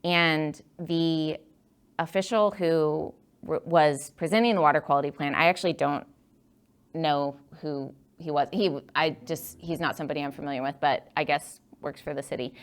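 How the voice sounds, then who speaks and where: Woman, low pitch, smooth clear voice, rambling with fillers, meeting room. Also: steady tone, normal speed. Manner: hesitant, full of fillers and restarts. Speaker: invited speaker at a public meeting. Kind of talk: casual talk with audience questions.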